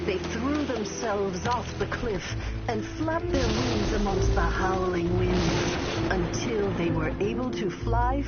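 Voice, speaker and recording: A woman playing a calm, solemn storyteller, narrating a fable in a measured, lyrical manner for a video game. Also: medium pitch, close to an everyday voice. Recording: slightly muffled, loud music underneath.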